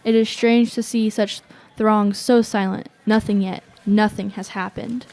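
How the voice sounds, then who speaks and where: A woman's voice: medium pitch, flat delivery, measured pace, a little mellow, soft background music, natural voice. A young woman playing a watchful radio reporter, giving tense eyewitness commentary in short statements for an audio drama. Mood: tense, observant. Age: young adult.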